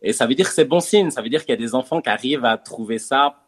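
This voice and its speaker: A man's voice: medium pitch, expressive, fast talker, husky. A man, a comedian who also teaches theatre, talks casually in an interview. He hesitates often and keeps circling back with fillers and repeated words.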